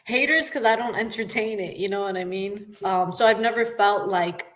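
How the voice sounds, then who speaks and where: A woman's voice: medium pitch, moderate pace. Laid-back New York woman boxer. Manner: laughs often, relaxed and candid. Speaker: professional boxer. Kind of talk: casual interview.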